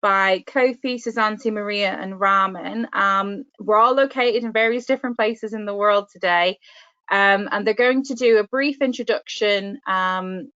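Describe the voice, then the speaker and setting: A woman's voice: mid-range voice, unhurried, sharp tone, smooth. A woman, a career consultant, moderating an online workshop in an upbeat, friendly way with many fillers. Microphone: video call. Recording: dull lo-fi video call audio.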